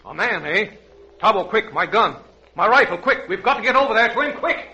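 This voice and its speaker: A man's voice: high voice, expressive, a little fast, pushed and bright, full character voice. An American man playing a bold, commanding safari captain in a theatrical old-time radio adventure serial. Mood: urgent, commanding. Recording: dull, noisy vintage radio recording.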